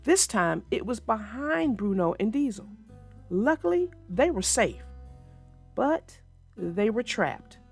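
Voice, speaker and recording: Casting: expressive woman for children's read-aloud narration, lively and high-pitched. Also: slow, creaky, husky, faint music behind. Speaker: storybook narrator.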